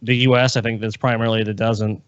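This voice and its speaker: A man's voice: lowish voice, little pitch movement, fast, smooth, no room sound, dull audio. A man co-hosting a podcast in casual chat, speaking informally in an easygoing way. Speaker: podcast co-host.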